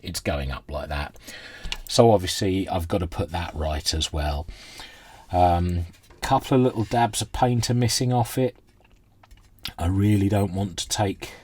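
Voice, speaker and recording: Husky, very low-voiced man, rambling casually. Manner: rambling, chatty, self-deprecating. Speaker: hobbyist presenter restoring vintage radios. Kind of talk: casual unscripted workbench demonstration. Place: indoor workbench.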